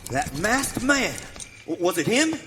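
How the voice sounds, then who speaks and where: A man's voice: sits high, sing-song, a little slow. An actor playing a cranky, suspicious frontier father in a western radio play, scolding in folksy American dialect. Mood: indignant scolding. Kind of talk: live western radio theater acting. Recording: hall reverb, faint noise.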